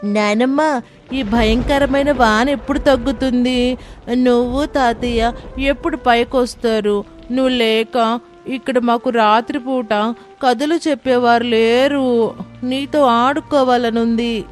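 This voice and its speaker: A high, childlike voice of an innocent young grandchild played by a woman. The child talks earnestly and affectionately, like a storybook drama, with light music in the background. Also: fairly even intonation, slow pace. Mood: wistful. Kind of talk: dramatised Telugu moral story.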